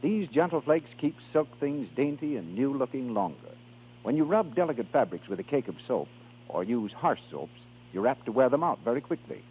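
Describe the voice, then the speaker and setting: A man announcing in a scripted 1930s radio style, delivering continuity and sales pitches. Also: sing-song, brisk, a little hiss or hum. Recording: tinny 1930s radio recording.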